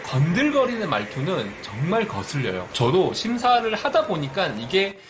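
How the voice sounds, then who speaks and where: Busan-accented man giving lively advice. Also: sits high, moderate pace, background noise. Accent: light Busan dialect. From Busan, South Korea. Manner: earnest, direct advisory tone. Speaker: business YouTuber. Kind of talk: vlog advice talk.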